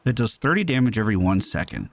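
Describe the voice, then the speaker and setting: A man giving casual, animated live commentary as a gaming host, exclamatory and swearing freely. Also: on the low side, fast talker, creaky. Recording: game music behind.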